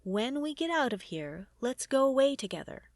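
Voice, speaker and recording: A woman's voice: high-pitched, sing-song, normal speed, dry recording, studio quality, voice pushed up. Woman reading as playful, insistent fantasy lady. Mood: playful, firm. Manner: coaxing, insistent. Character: playful, insistent magical lady, thin and pixie-like.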